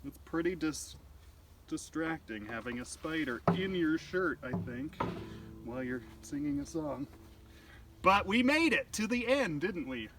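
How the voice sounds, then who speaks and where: Slow-paced man hosting a children's storytime. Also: a little high, big pitch swings, distant mic. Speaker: children's library storytime host. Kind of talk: casual, playful talk to children. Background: acoustic guitar.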